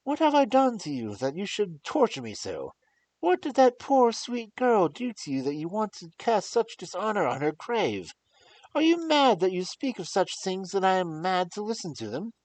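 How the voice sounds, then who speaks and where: A reader voicing a passionate young nobleman in an audiobook, loud and outraged. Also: medium pitch, sing-song, moderate pace, dry recording, pitched above the performer's own voice, natural voice, rough recording. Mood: furious. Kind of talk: audiobook character reading.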